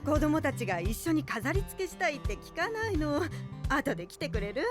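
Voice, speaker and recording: A woman's voice: sits high, sing-song, normal speed. A woman playing a friendly, busy village woman in an anime-style audio drama. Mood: friendly, hurried. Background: background music.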